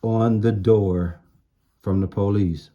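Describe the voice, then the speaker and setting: A man's voice: on the low side, fairly even intonation, takes it slowly, mellow, muffled recording. A man giving an informal sermon in blunt, streetwise slang, repeating phrases for emphasis. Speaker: street-style preacher.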